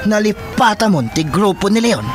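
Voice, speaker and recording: Cocky gleeful gunman on the run, ilocano accent, high pitched, radio drama with sirens n car noise. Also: expressive, normal speed. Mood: gloating. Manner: boastful, laughing, forceful. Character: brash, gleeful male armed gunman on the run. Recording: sirens and vehicle noise behind.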